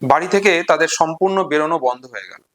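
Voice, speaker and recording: Guy doing a lit lecture, kinda high voice, retells stories in short careful chunks. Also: lively intonation, racing, a bit bright. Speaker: literature explainer and presenter. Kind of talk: informal literary lecture and story retelling.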